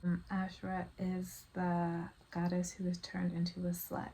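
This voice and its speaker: Woman vlogger, halting delivery, noisy recording. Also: fairly low pitch, monotone, mellow. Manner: halting, rambling, conversational storytelling. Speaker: vlogger telling her personal story. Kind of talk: casual, confiding monologue to viewers. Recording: clear background noise.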